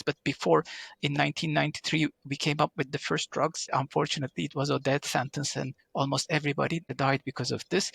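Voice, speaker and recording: Gravelly, husky man from Turkey. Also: a little high, fairly bright tone, dry recording. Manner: explanatory, frequent fillers and restarts. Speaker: guest, pharma digital manager. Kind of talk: interview answers.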